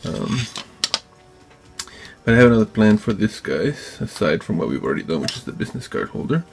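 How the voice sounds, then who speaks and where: A man hosting a craft tutorial, talking informally and haltingly as he thinks aloud while working. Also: lowish voice, very animated, creaky, background noise. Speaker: DIY maker and video host. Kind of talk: casual hands-on tutorial narration. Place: indoor craft workbench.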